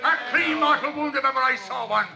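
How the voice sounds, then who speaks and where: A man playing a blustering, cunning pirate captain, gravelly and forceful, barking orders in old seafaring talk on an adventure story record. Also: very high voice, brisk, some chatter behind, exaggerated voice. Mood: commanding, fierce. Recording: noisy old vinyl record.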